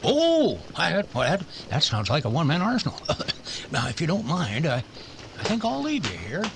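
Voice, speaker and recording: An actor playing a wry, nervous old-West doctor who rambles and hesitates in a radio drama. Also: male voice, fairly high pitch, wildly swooping pitch, moderate pace, gravelly, noisy background, exaggerated voice. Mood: nervous retreat. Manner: hesitant, dithering. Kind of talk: western radio drama acting.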